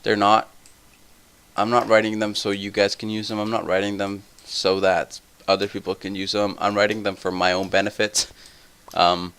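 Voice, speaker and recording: A tired young man with a somewhat deep voice, rambling through casual gameplay commentary full of tangents. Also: little pitch movement, normal speed, fairly bright tone, smooth. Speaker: let's play host.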